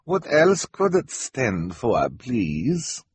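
A man's voice: mid-range voice, wildly swooping pitch, drawn-out words, slightly rough, pitched above the performer's own voice, exaggerated voice. A man voicing a treacherous villain who feigns politeness in broken English, tacking on "please". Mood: oily, menacing. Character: treacherous villain Gunter, oily fake foreigner feigning politeness.

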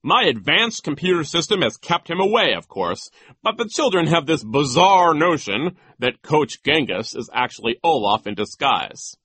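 Snooty school boss, vain n dismissive, high-ish and sharp, storybook character. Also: male voice, big pitch swings, normal speed, breathy edge, dry recording, full character voice. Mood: haughty, dismissive. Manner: haughty, dismissive. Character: vain, dismissive, boastful male school official.

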